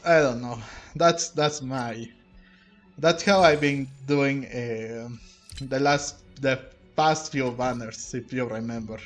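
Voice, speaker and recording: Man, average pitch, slow halting delivery, casual gameplay commentary, game music in background. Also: fairly even intonation. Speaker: game streamer. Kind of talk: casual let's-play commentary.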